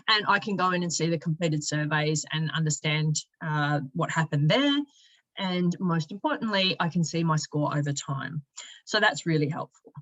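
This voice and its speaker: A woman giving a conversational software walkthrough as a company presenter, explaining each step informally. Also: fairly low pitch, dry recording, lo-fi sound. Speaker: sales and marketing director giving a product demonstration.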